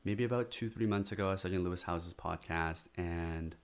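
A man hosting a podcast, welcoming his guest and asking questions in a friendly, laid-back, slightly halting way. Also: low voice. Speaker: podcast host. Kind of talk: casual interview.

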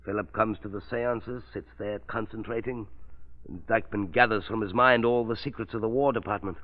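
A man plays a genteel, scheming traitor in an old radio play. His voice is fairly low, cultivated and fussy, with a condescending air. The recording is narrow and tinny, like a 1930s broadcast. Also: steady tone, fairly quick, lightly acted. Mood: smug. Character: genteel, smug, scheming male spy posing as book scholar. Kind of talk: 1930s radio drama acting.

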